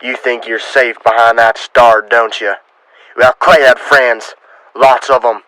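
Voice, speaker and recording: A man's voice: mid-range voice, flat delivery, a little slow, bright, forceful tone, no echo, rough recording. Western heavy, male actor: hot-headed American townsman out for revenge, tense biting voice, accusing, menacing, dry sound. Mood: threatening. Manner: accusing, menacing, hard-edged. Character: vengeful, hot-headed Old West townsman. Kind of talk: western radio drama acting.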